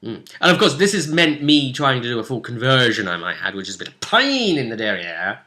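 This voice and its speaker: Bright-voiced man chatting as game master. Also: medium pitch, very animated, fairly quick. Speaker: game master and vidcast host. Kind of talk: casual recap chat about a tabletop game.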